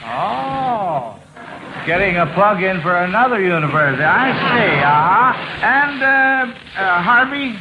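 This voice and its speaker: An American man hosts a vintage radio quiz with a high voice and an unhurried delivery. He has a genial showman's manner, and the old broadcast is clearly noisy and hissy. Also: sing-song. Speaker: quiz show host. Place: university auditorium.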